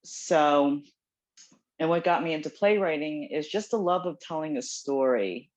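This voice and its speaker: A woman's voice: sits low, a little slow, darkish tone. A woman in her forties, raised in New Jersey and, chatting casually as a playwright guest from home, full of ums and self-deprecating asides. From South Korea, raised in New Jersey. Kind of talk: casual interview chat. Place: home, dog barking nearby. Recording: dull lo-fi call audio.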